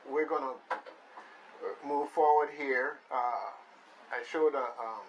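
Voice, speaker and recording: A man with a Caribbean accent from the Virgin Islands hosts casual live radio, talking playfully and haltingly and repeating his phrases. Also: mid-range voice, dull audio, a little hiss or hum. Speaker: radio show host. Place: radio studio with shared microphones.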